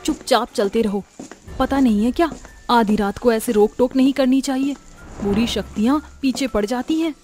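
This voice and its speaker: A woman playing frightened village wives in a horror story, high-strung and dramatic. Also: normal speed, warm tone, soft background music, lightly acted. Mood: urgent. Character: frightened village wives facing a murderous witch.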